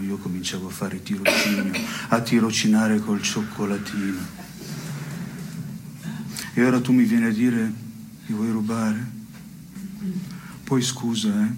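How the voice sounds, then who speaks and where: An actor playing a wry, self-satisfied petty-theft philosopher in absurdist theatre, with a deliberate, mock-solemn delivery. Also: male voice, fairly low pitch, noisy background, lightly acted. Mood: indignant, wry. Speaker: stage actor. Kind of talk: scripted absurdist theatre dialogue.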